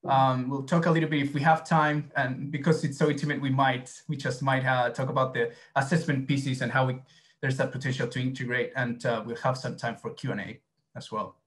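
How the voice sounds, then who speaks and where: Argentine man presenting, halting and hesitant. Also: mid-range voice, steady tone, a bit bright. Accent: Argentine Spanish. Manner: hesitant, many fillers and restarts. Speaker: academic presenter. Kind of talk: conference presentation over video call. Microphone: video call.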